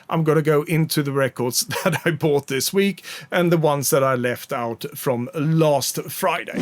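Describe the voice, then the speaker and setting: Swedish-accented man, chatty vlogger, fairly high voice, dry sound. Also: a bit bright, dry recording. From Sweden. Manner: chatty, rambling with frequent fillers. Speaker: record collecting vlogger. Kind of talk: casual chat to camera.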